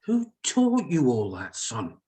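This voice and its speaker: Man acting worried father, doctor, gentle, medium pitch, lively intonation, script read. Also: slow pace. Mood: mild, concerned. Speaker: script reader. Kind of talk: screenplay table read.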